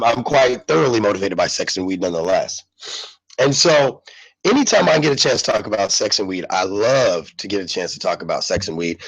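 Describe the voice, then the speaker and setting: A man's voice: medium pitch, husky, no echo, low-quality recording. A man hosting a casual live radio show, congested, rambling and repeating himself with many fillers. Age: adult parent.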